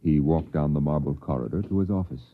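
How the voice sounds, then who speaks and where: A male actor narrating an uncanny story in a measured, dramatic old-time radio style. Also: extremely low pitch, a little hiss or hum. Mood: ominous. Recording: tinny old radio recording with music.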